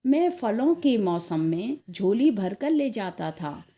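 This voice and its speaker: A woman's voice: mid-range voice, big pitch swings, slow, mellow. A woman voicing wistful male office clerks in a story, lamenting in a nostalgic way.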